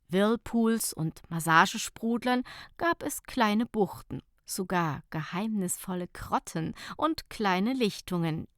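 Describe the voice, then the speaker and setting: Slow-paced woman reading an audiobook. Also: medium pitch, dry recording, studio quality. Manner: measured, clearly phrased storytelling. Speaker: audiobook narrator. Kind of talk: scripted narration of a humorous short story.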